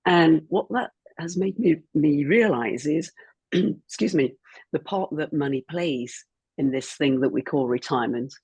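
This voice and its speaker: A woman's voice: deep voice, a little slow, a little mellow, slightly rough, no echo. A woman in her sixties, a former financial planner with a cold, speaking reflectively as a guest on an online panel. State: croaky from a cold.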